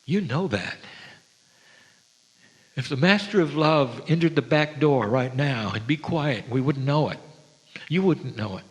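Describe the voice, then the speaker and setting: A man giving a spiritual sermon to an audience, speaking gently and reverently with deliberate, dramatic pauses. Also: mid-range voice, animated. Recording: long hall reverb.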